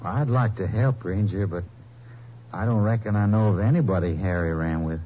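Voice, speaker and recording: An actor playing a nervous gun-shop owner in a 1950s radio drama, folksy and overly friendly, stammering under questioning. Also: male voice, fairly low pitch, normal speed, natural voice. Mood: falsely friendly. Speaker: suspect. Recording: muffled old radio broadcast with hiss.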